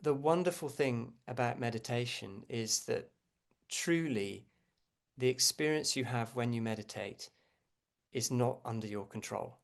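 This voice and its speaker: A man leading a guided meditation as a teacher, speaking gently and without hurry, with frequent pauses. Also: mid-range voice, vocal fry.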